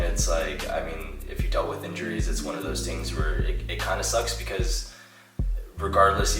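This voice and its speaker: Low, flat-voiced congested young man. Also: distant mic. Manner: relaxed, rambling, many fillers. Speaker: CrossFit athlete. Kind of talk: casual vlog chat. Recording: echoey room, picked up from afar, loud music.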